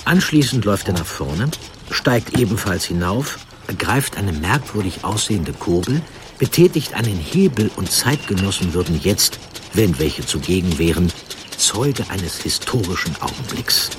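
A man reading a scripted historical narration in a measured, articulate manner. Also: low voice, animated, noisy background. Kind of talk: scripted documentary storytelling narration. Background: music under the voice.

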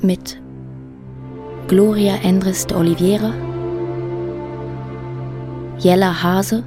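A woman announcer reading scripted radio drama credits in a measured, plain way. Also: mid-range voice, steady tone. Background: sad violin music.

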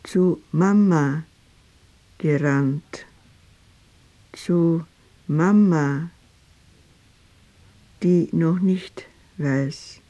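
An actress playing a grieving grown daughter in a literary radio play, reciting her memories in halting, deliberate phrases. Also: deep voice. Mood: stark, shaken. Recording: loud strings and brass over voice.

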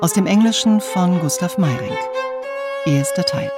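Radio announcer: woman, slightly deep, deliberate and formal, reading credits over clear string music. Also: animated. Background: prominent violin music.

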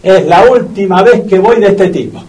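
An actor playing a gloomy, bitter dog owner in a radio comedy, grumbling and whining with a Rioplatense Argentine accent. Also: male voice, high voice, animated, moderate pace, very noisy. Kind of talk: comedic radio play acting.

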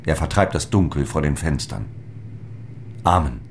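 Very deep-voiced solemn apostle. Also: male voice, animated, normal speed, a little hiss or hum. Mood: fervent. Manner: grave, incantatory, declamatory. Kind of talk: literary radio drama monologue.

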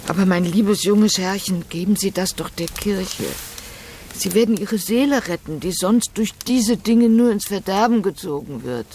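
A woman's voice: medium pitch, normal speed, a little mellow, slight background noise, natural voice. A woman playing a simple, pious country maidservant in a radio drama, speaking in an artless, wondering way. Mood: naive wonder. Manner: artless, wondering, plain-spoken. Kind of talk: German radio drama acting.

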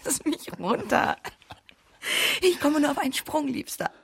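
An actress with speech playing a naive, impulsive, coquettish young married woman in love, with a breathy voice, in a radio play. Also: sits high, big pitch swings, a little slow, full character voice. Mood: playful, hurried. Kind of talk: literary radio play acting.

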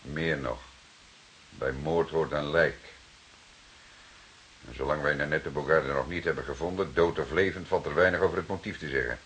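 An actor playing a calm, stubborn, dryly ironic police detective in a radio drama, speaking in a measured way. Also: male voice, very deep voice, slight background noise. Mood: dry, grave. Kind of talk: Dutch radio drama acting.

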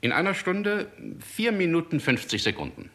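An actor playing a deferential but clinical court doctor, formal and declamatory, in a 1960s German radio play. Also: male voice, sits high, big pitch swings, normal speed, sharp tone, exaggerated voice. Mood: solemn pronouncing. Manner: pompous, detached, declamatory.

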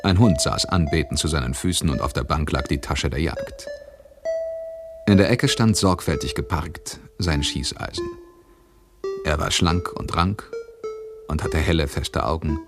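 Low male voice, terse brooding widower, wry understatement, radio drama, music underneath. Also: lively intonation, measured pace, long pauses, exaggerated voice. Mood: somber narrating. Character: brooding, laconic widower telling his own story. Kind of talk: radio drama acting and first-person narration.

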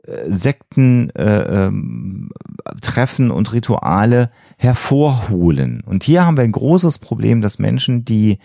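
Low, creaky-voiced man explaining earnestly. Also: sing-song, slow, warm tone, no echo, studio quality. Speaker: podcast co-host. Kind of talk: explanatory podcast discussion.

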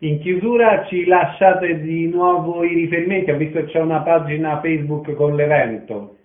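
Higher-voiced man interviewing, echoey. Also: normal speed. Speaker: radio interviewer. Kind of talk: live radio interview questions. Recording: echoey, narrow phone-like sound.

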